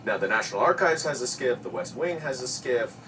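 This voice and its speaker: A man's voice: medium pitch, big pitch swings, brisk. A man giving emphatic, explanatory commentary as an expert on a news panel. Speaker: expert panel commentator, former congressional intelligence committee staffer. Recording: noisy, distant-sounding audio.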